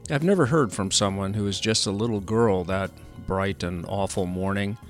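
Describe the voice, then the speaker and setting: Older man, podcast host, low-pitched, scripted narration, light music behind. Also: normal speed.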